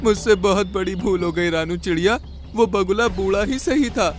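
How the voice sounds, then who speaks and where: A man voicing a trusting father pigeon in a cartoon, earnest and expressive. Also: high-pitched, normal speed, faint music behind, voice pushed up, lightly acted. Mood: sorrowful.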